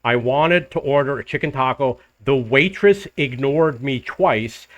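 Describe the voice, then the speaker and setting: An older man, host of his own travel channel, telling a rambling personal story to the camera in a loud voice. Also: mid-range voice, unhurried, no room sound. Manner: rambling, restarts and loops back in the story. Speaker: vlogger living abroad.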